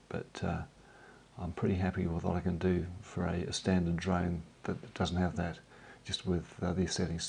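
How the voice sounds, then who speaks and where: A man with a head cold speaks in a very low, gravelly voice with a husky, mellow tone. He talks in a relaxed, unhurried way, pausing often on ums and uhs as he narrates his own hobby video. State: has a cold, croaky voice. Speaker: drone hobbyist vlogger. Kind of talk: casual voice-over narration.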